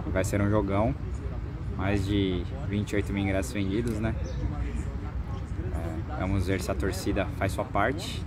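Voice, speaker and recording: A Brazilian man in his twenties gives an informal football vlog report to camera, in a halting way with repeated words, speaking into a phone at arm's length outdoors. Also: on the low side. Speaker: sports reporter for a football fan channel. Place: outdoors on a football training pitch. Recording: outdoor noise with distant vehicles.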